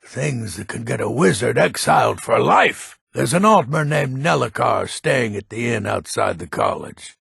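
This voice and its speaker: An actor playing a grumpy, defiant old man in a fantasy video game, with a raspy, gravelly voice and a gruff, theatrical, emphatic delivery. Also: medium pitch, lively intonation, measured pace, hardly any pauses, fairly bright tone, dry recording, studio quality, full character voice. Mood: secretive, grave. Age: older.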